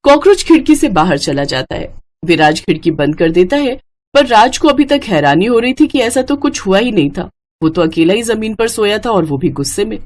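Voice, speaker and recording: A woman's voice: medium pitch, expressive, moderate pace, a little mellow. Woman, storyteller, scripted narration, mid-range voice.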